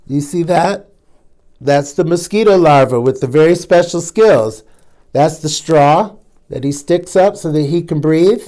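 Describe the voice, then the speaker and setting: A man's voice: a little high, a little slow, warm tone. A man hosting a children's storytime, reading aloud and chatting to young listeners in asides. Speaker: storytime reader, drag queen host.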